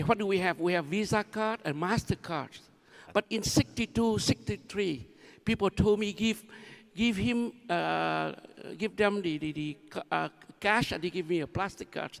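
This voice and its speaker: A seventy-year-old man from Vietnam with a Vietnamese accent, giving an informal speech with personal anecdotes in halting, repetitive phrases. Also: sits high, creaky. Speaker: conference speaker, new board member. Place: large conference hall. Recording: echoey large hall sound.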